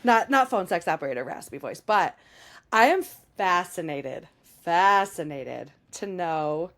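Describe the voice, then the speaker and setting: A woman podcast host and sex educator, hoarse and raspy from a cold, chatting emphatically and laughing often. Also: on the low side, animated, measured pace, a bit bright. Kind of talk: casual podcast conversation.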